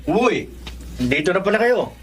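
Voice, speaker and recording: A man's voice: high-pitched, sing-song, unhurried, slight background noise. An actor playing a friendly, gossipy young man in a radio drama, chatty and confiding. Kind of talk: Filipino radio drama acting.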